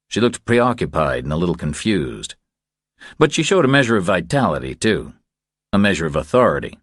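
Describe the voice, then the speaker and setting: A male narrator reads a crime novel in a very deep voice. His intonation moves widely as the scripted prose unfolds. The recording is dry, with little room sound. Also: no echo. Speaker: audiobook narrator.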